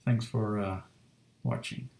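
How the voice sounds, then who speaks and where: A man in his thirties talks easily to his viewers while sketching, with a dark, mellow voice and a gravelly edge. His chat is loose and full of fillers, and the sound carries the echo of a roomy home studio. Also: fairly low pitch. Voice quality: soft, relaxed and unhurried. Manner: chatty, lots of fillers, reads chat. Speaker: streamer drawing fan art. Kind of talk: casual live-stream chat while drawing.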